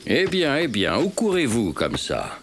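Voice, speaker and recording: Gravelly, wise old storyteller wolf. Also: male voice, mid-range voice, wildly swooping pitch, background noise. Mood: calm, curious. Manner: measured, sententious. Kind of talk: cartoon narration and dialogue in French. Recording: music under the voice.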